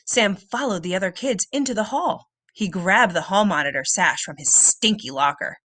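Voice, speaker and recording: A woman reading a children's book aloud as a storyteller, with expressive, dramatic and suspenseful delivery. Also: mid-range voice, normal speed, no room sound.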